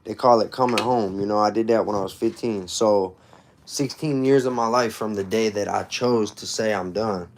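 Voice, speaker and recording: A man's voice: fairly low pitch, moderate pace, slight background noise. A man in his thirties hosting a casual livestream, telling personal stories in informal street slang and repeating himself for emphasis. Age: 30s. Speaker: livestream host.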